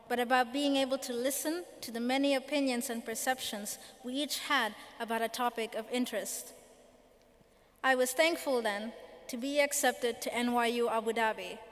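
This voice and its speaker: A woman in her twenties from Sri Lanka, giving an earnest, measured personal speech as a student speaker. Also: fairly high pitch, steady tone, a bit bright. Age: 20s. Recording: long hall reverb.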